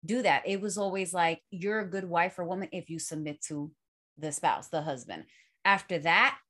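A woman's voice: on the low side, normal speed, fairly bright tone. A middle-aged woman, a podcast host, talking through her ideas in a reflective, casual conversation with many fillers.

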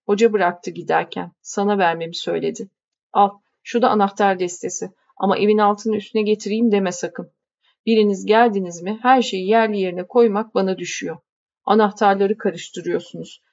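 A woman voicing a gruff village housekeeper who talks curtly and scolds. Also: medium pitch, fairly even intonation, normal speed, a little mellow, muffled recording, lightly acted. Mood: grumbling. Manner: curt, scolding.

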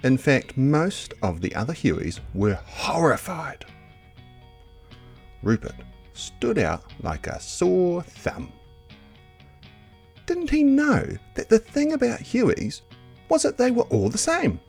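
A man reading a children's picture book aloud as narrator, in an expressive, measured storytelling delivery. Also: on the high side, gravelly, husky, faint music behind.

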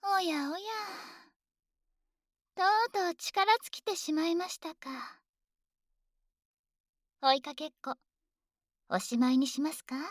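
A woman plays a dragon maid in an ASMR situation drama, with a high voice and an unhurried pace. The maid is polite and coddling, yet teasingly domineering toward a smaller young master. The sound is close and very dry. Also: no echo. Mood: teasing, confident. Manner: polite servile speech, gently teasing and coddling. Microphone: close microphone, dry.

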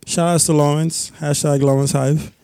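Loud gravelly young man, casual banter. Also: on the high side, normal speed. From Ghana. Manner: loud, animated, slangy. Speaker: podcast co-host.

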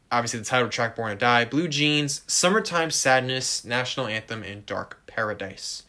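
Bright-voiced animated male podcast host. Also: mid-range voice. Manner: animated, addresses listeners directly, leans on stock phrases. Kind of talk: casual music podcast discussion.

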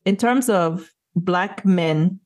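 A woman's voice: fairly low pitch, drawn-out words, a little mellow. A woman, a podcast co-host, talking casually in an earnest, opinionated way and building her points with rhetorical questions. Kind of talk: casual discussion.

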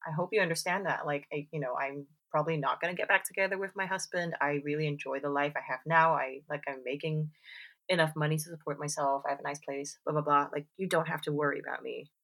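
A woman talks casually in a low voice with a bright, forceful edge. She fills her speech with "like" and "you know", and she acts out the lines of people she quotes. The talk stays loose and conversational throughout. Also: fairly even intonation, quick pace. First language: English. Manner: chatty, many fillers and "like"s. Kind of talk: casual chat.

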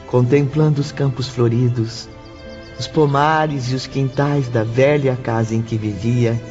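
A man narrating a scripted radio drama in a measured, serene storytelling manner. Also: medium pitch, dark tone. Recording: dull recording with faint music.

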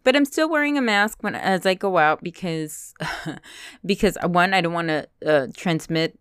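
A woman's voice: on the low side, expressive, moderate pace, clear, resonant tone, no room sound, clean studio sound. Stuffy-nosed chatty woman podcast host. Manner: chatty, unscripted, many fillers and restarts, switches into Spanish phrases. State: stuffy from a cold, slight cough. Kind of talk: solo podcast monologue.

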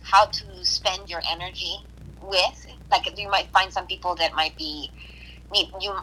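A woman from Puerto Rico chatting casually on a podcast, hesitant, with restarts and soft laughs. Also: lowish voice, steady tone, a little slow, low-quality recording. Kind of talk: casual conversation.

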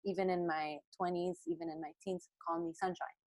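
A woman's voice: lowish voice, flat delivery, brisk, darkish tone, rough recording. A woman in her thirties, a podcast guest in casual chat, upbeat and storytelling.

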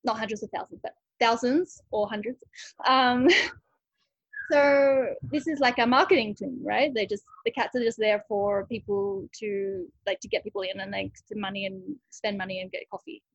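A woman's voice: medium pitch, steady tone, normal speed, creaky, no echo, muffled recording. A woman who runs a rescue cat cafe, answering interview questions in a chatty way, thinking aloud with many restarts.

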